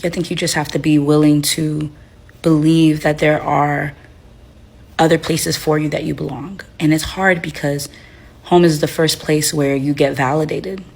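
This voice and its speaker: A woman's voice: low-pitched, flat delivery, moderate pace, vocal fry, darkish tone. A young woman from Haiti, a life coach, giving casual reflective advice while unwell with a headache and tired, with many fillers and restarts. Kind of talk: casual talking-to-camera advice.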